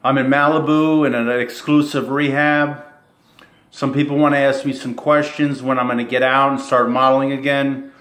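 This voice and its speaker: A man talking casually to his fans in a rambling, halting way, chuckling between phrases. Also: medium pitch, little pitch movement, a bit bright. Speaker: self-described model. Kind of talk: casual monologue to camera. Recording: roomy echo.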